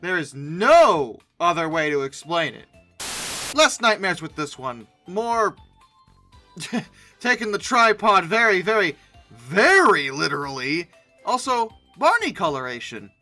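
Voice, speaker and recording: Bright, expressive comedic commentator over music. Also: mid-range voice, takes it slowly. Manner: theatrical, exclaiming, sarcastic. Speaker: host of a comedy reaction video. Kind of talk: off-the-cuff comic commentary on images.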